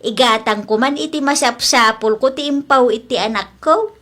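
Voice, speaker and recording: Babaeng gumaganap na mabait na lola, mataas ang boses. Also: female voice, a little high, moderate pace, fairly bright tone. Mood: chatty, content. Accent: Ilocano. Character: kindly, easygoing elderly mother-in-law, a grandmother. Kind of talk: Ilocano audio comedy drama acting.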